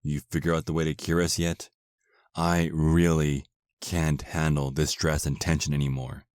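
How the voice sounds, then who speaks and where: A man playing a polite, seductive vampire husband in an ASMR roleplay drama, often dropping into a hushed, hypnotic manner. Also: a bass voice, flat delivery, moderate pace, smooth, no room sound, studio quality. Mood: weary, exasperated.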